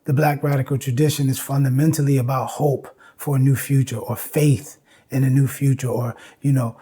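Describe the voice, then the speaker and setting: A man's voice: medium pitch, little pitch movement, a little fast, dark tone, slightly rough. An American man in his fifties giving informal educational commentary, emphatic and repeating phrases to drive points home. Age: 50s. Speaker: video essay host.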